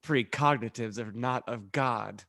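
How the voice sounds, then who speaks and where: A man's voice: medium pitch, unhurried, gravelly, a bit bright. A man co-hosting a comedy podcast, chipping in with casual, wisecracking banter. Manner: wisecracking, interjecting. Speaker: podcast co-host.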